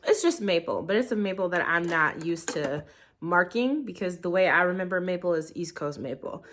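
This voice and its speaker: A woman's voice: fairly low pitch, animated, moderate pace, a bit bright, slight background noise. A congested young woman reviewing a tea in her living room, sounding a little nasal and talking casually with lots of ums. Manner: chatty, rambling, many ums. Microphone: camera in portrait mode.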